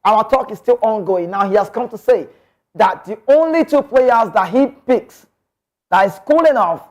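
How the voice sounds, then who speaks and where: A Nigerian man hosting a sports talk show, giving passionate, opinionated football commentary full of emphatic rhetorical questions. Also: sits high.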